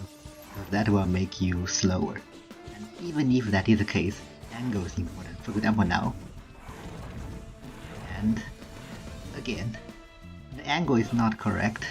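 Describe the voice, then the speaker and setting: A man giving casual, instructional video game commentary, explaining tips step by step as a walkthrough creator. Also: lowish voice. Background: game music.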